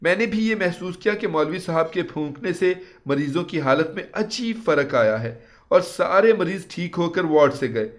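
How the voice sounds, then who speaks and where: A man's voice: on the high side, lively intonation, normal speed. A man reading a scripted first-person moral story as a storyteller.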